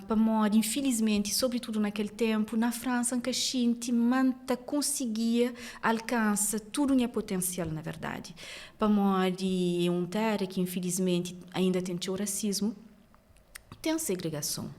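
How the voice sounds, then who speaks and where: A woman from France with a Cape Verdean accent, a journalist telling her story as a podcast guest in lively conversation. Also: medium pitch. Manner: animated storytelling, quick laughs. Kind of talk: casual interview conversation.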